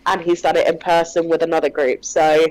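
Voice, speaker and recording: A woman's voice: deep voice, little pitch movement, brisk, a little mellow, no room sound, low-quality recording. A woman player chatting casually with a cold, rambling and full of ums. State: stuffy with a cold, sniffling. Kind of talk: casual chat between game sessions.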